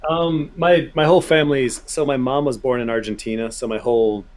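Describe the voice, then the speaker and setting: A man's voice: medium pitch, steady tone, fairly quick. A man with an American accent chatting casually as a guest on a podcast. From United States. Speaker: podcast guest, gun YouTuber. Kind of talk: casual chat.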